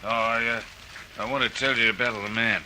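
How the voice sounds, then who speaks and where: An actor playing a slightly tipsy, stubborn witness who rambles and repeats himself in a radio drama. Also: male voice, mid-range voice, fairly even intonation, sharp tone, a little hiss or hum, poor audio. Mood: boozy, self-important. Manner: rambling, repetitive, halting drunken talk. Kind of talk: old-fashioned radio drama acting.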